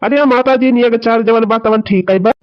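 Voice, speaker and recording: A man plays an insistent young village son-in-law in a cartoon comedy, his voice high and the sound dull. He complains plaintively in a rustic Awadhi accent. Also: fairly quick. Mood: complaining. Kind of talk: rural cartoon comedy dialogue.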